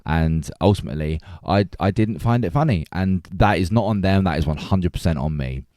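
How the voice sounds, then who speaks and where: A man's voice: low voice, animated, brisk, dark tone, dry recording, clean studio sound. A man hosting a casual film discussion, thoughtful and hesitant, repeating words as he builds his points. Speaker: podcast host.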